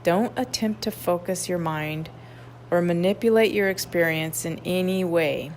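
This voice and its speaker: A woman guiding a meditation, reading instructions in an unhurried way with long pauses. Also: fairly low pitch, darkish tone. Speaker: meditation guide at a wellness retreat. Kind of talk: guided meditation, read aloud softly. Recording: clearly noisy ambient background.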